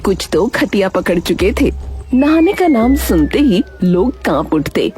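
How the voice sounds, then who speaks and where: Female storyteller for scripted narration, animated pitch movement, music underneath. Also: a little slow. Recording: music behind the voice.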